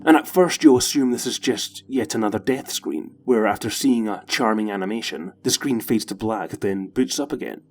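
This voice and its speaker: A man's voice: fairly low pitch, moderate pace, hardly any pauses, slight background noise. A man delivering scripted narration as the presenter of a video essay.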